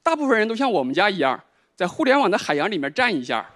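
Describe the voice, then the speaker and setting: A high-voiced man rattles through a stand-up set at a fast clip, his pitch leaping up and down. His punchlines land deadpan. Clear noise runs under his stage mic. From Northeast China. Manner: quick, animated deadpan punchlines. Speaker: stand-up comedian.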